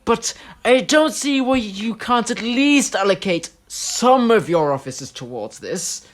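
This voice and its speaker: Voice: mid-range voice, lively intonation, slow. An actor playing a pompous local MP and head of a grand family, given to theatrical outrage.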